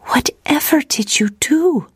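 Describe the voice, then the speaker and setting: An eager woman listener, played by an audiobook narrator, speaks in a high, breathy, astonished voice. She sounds riveted, and the recording is dry. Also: expressive, takes it slowly, no room sound, whispered. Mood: riveted, amazed.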